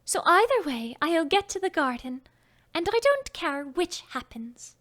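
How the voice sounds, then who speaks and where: A woman putting on a curious little girl, storybook, high and unhurried. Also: big pitch swings, a bit bright, full character voice. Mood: resolute. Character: curious little girl Alice, earnest and chatty.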